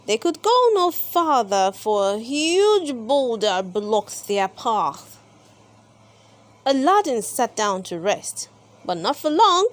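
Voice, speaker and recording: A woman with a high voice reads a children's storybook aloud. Her pitch moves up and down in a lively way, and she takes her time, placing deliberate, dramatic emphasis on the words. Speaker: storybook reader and channel host. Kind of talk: expressive children's read-aloud narration.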